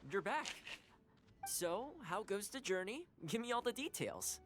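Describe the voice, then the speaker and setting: A woman's voice: medium pitch, very animated, unhurried, music in the background. A woman voicing a cheerful, easygoing young companion in a video game, chatty, friendly and considerate. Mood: curious, friendly.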